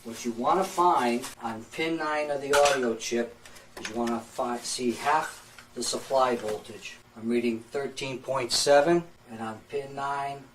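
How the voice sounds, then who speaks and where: A man's voice: medium pitch, steady tone, a little slow, background noise. A man giving an unscripted, matter-of-fact repair tutorial, explaining each step methodically as a technician. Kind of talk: unscripted hands-on repair demonstration.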